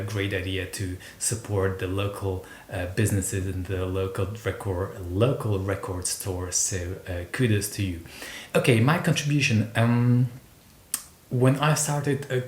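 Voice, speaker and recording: Low-voiced Slovak-accented man in an echoey room. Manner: hesitant, rambling, repeats words. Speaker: video host, record collector. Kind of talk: casual monologue to camera. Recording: roomy echo, noticeable low rumble noise.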